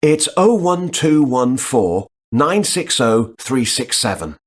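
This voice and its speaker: A relaxed job applicant in a textbook listening dialogue. He answers at a mid pitch and pauses to think aloud between answers.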